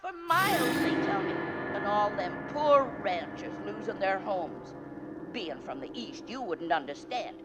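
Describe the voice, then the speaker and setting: An actress playing a garrulous, folksy drive-in owner in an old radio drama, talking in homespun ungrammatical phrases. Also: female voice, medium pitch, sing-song, unhurried, heavy background noise. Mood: gossipy, concerned. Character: chatty, folksy woman running a drive-in diner. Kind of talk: 1940s-50s radio drama acting.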